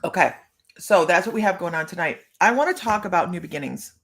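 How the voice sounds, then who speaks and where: A woman's voice: lowish voice, animated, fast, a bit bright. A middle-aged woman hosting a live stream as a psychic medium, chatting to viewers and greeting them by name.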